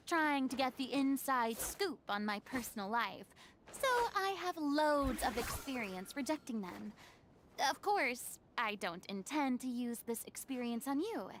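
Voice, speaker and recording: A snooty dramatic young diva from a video game, really high pitched, bit of noise. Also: female voice, a bit bright. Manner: pompous, theatrical. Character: theatrical, vain young female former celebrity, playfully haughty. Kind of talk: video game character acting.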